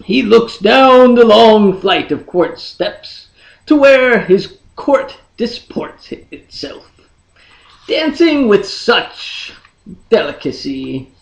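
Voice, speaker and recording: A storyteller reading a fantasy tale in a dramatic, deliberate manner with lingering pauses. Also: mid-range voice, gravelly, dull audio. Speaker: audiobook reader. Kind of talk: dramatic scripted fantasy narration.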